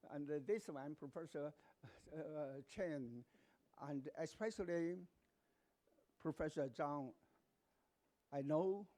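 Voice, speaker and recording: Older man, emeritus professor, slow, halting English, dark mellow tone. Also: on the high side. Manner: slow, broken English with pauses. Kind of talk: welcome address. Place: university auditorium.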